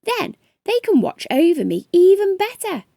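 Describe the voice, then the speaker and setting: A woman's voice: very high voice, sing-song, moderate pace, vocal fry, warm tone, dry recording, studio quality, pitched above the performer's own voice, heavily acted. A woman voicing an earnest young boy in a storybook, easily awed and full of eager questions. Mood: excited, earnest.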